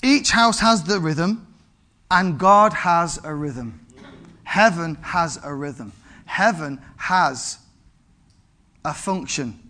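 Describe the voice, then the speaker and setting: A man, preaching an animated sermon to his congregation and calling out for amens. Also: a little high, slow pace, long pauses. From England. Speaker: pastor.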